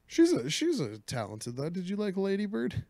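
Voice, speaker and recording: A man casually chatting as a podcast host, asking questions and dropping in relaxed, joking remarks. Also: sits high, normal speed, no echo, studio quality. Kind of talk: casual chat.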